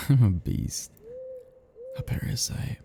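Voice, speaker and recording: A man's voice: mellow, slight background noise, close to an everyday voice. A man playing a melancholy, possessive vampire in an ASMR roleplay, murmuring intimately and often dropping into whispers. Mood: melancholy, bitter. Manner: slow, intimate, breathy murmuring that drops into whispers. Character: seductive, melancholy, possessive male vampire, self-loathing immortal predator.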